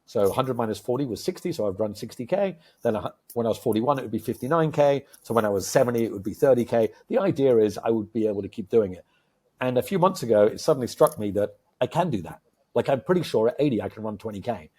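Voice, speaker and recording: A man in his fifties co-hosting a podcast, talking casually and with enthusiasm, telling stories full of fillers and sudden bursts of excitement. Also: mid-range voice, fast, husky. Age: 50s. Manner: enthusiastic, animated storyteller with many fillers. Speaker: podcast co-host.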